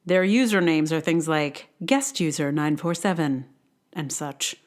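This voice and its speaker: Wry, low-pitched woman podcaster. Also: measured pace. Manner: wry, emphatic, self-deprecating. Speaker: podcast host. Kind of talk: reading a personal essay aloud, conversational.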